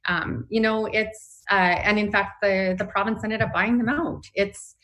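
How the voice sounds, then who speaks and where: A woman's voice: mid-range voice, steady tone, unhurried, a bit bright. Earnest middle-aged Canadian woman. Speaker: nurses' union leader, interview guest. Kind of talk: advocacy interview answers. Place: indoor room, video call. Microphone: video call mic.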